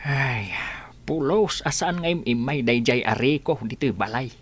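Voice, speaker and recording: A woman playing a gentle, consoling elderly father in a radio drama, with a husky voice and tender, sighing delivery. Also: rumbling low, a little slow, full character voice. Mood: resigned.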